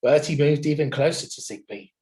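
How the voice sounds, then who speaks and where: A man narrating a children's storybook in an expressive, dramatic manner. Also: on the high side, fairly quick. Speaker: children's story reader at a primary school.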